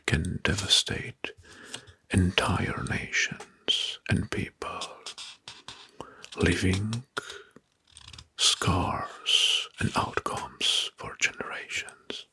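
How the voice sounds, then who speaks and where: A man narrating history in an ASMR style, in a breathy, husky whisper, slowly and deliberately in short phrases. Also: low-pitched, pushed and bright. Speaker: ASMR video host. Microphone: close microphone.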